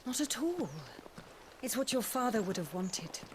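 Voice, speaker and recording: An actress playing a kindly, nostalgic, middle-aged noblewoman in a game, gracious and gentle. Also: a little high, big pitch swings, a little slow, background noise. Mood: gracious, wistful. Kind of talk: video game cutscene acting.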